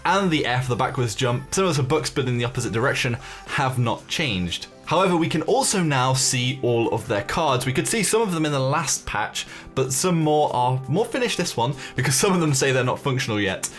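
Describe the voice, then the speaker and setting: A man's voice: mid-range voice, big pitch swings, brisk, hardly any pauses, faint music behind. A man giving casual, chatty commentary as the host of a gaming news video.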